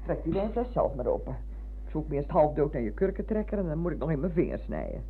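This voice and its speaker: A woman's voice: sits low, very animated, fast talker, husky, heavily acted. An actress playing a motherly, talkative older woman in a radio play, effusive and given to digressions. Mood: fussing. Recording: narrow-band, noisy, humming old radio recording.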